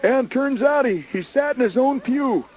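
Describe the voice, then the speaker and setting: Man putting on a very high-voiced, jokey old grandpa. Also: moderate pace. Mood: corny, chipper. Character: cheerful old grandpa who tells corny jokes. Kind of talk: children's morning radio comedy bit. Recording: noisy live radio aircheck.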